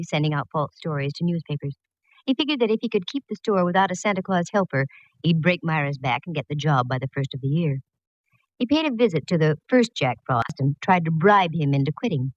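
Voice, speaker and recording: A woman's voice: low-pitched, no echo. An actress playing a plucky, wry female private eye, narrating in the style of a 1940s radio drama. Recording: dull, dry vintage radio recording.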